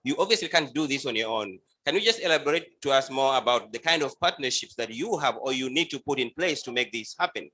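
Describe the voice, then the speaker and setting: A man from Uganda leads a panel discussion in a bright, forceful voice. He stumbles and repeats words as he hands over to the next speaker. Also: mid-range voice, lively intonation, fast talker, hardly any pauses, husky. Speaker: panel moderator.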